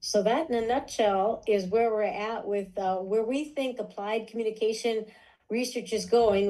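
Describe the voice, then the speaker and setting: A woman's voice: medium pitch, warm tone, rough recording. A woman lecturer on a video call, explaining research and illustrating her points with a story. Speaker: guest lecturer. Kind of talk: academic lecture and Q&A answer.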